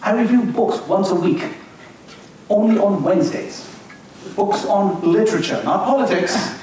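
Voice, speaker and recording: A man's voice: high-pitched, unhurried, a little mellow. An older man acting a wry, evasive Irish book reviewer in an amateur stage reading, mild and defensive. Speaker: community theater actor. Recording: echoey hall, mic far off, faint hiss.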